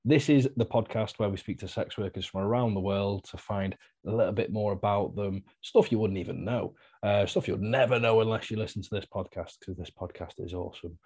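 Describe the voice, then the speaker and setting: A man hosting a podcast, chatty and relaxed, with lots of fillers and easy laughs. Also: fairly low pitch, brisk, hardly any pauses, breathy edge. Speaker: podcast host. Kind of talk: casual interview chat.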